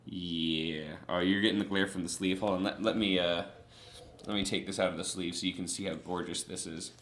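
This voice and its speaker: A man's voice: low-pitched, steady tone, fairly quick, fairly bright tone, slight background noise. A young man chatting casually and eagerly in a vlog, showing off trading cards with lots of fillers. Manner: chatty and enthusiastic, many fillers.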